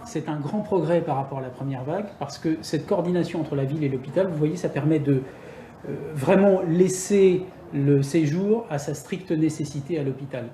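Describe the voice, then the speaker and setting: A woman's voice: deep voice, normal speed, dark tone. A woman working as a hospital doctor, explaining things in everyday spoken language. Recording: echoey room with faint noise.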